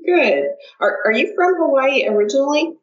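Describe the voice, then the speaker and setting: A woman's voice: high-pitched, animated, moderate pace, muffled recording. A woman from the American Midwest with an American accent, hosting a conversational podcast interview. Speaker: podcast host.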